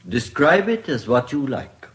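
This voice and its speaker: Formal English coroner, expressive intonation. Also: male voice, medium pitch. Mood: courteous, probing. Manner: formal, measured, courtroom diction. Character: formal, courteous English coroner presiding over an inquest. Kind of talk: radio drama acting.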